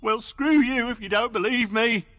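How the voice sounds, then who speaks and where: A man playing a defensive captive office worker who pleads innocence and shifts blame haltingly in a radio play. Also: very high voice, a bit bright.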